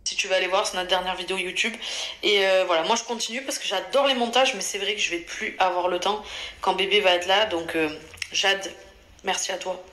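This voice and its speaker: A woman's voice: medium pitch, steady tone, brisk, pushed and bright, reverberant. A pregnant woman in her thirties chatting casually to her phone camera at home, outspoken and chatty, with lots of fillers and restarts. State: pregnant, on bed rest. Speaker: vlogger. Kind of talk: casual vlog chat. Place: home interior, bedroom and bathroom. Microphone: phone held at arm's length.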